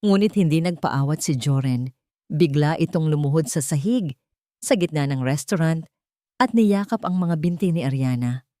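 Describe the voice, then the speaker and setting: A woman's voice: low-pitched, expressive, moderate pace, mellow, dry recording, clean studio sound. A woman reading a dramatic romance story as a scripted narrator.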